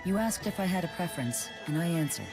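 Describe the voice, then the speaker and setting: A woman's voice: fairly low pitch, animated, fast talker. A woman voicing a proud, aloof ancient ice spirit in a video game, speaking in a cool, formal and curt way. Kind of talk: video game cutscene voice acting. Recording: music under the voice.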